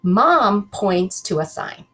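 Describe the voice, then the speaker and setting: A woman reads a children's book aloud as a teacher would to her class. Her pitch rises and falls in an animated way at an unhurried pace, with a husky edge. She often steps out of the text to put questions to the pupils. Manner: expressive, addresses children directly with questions.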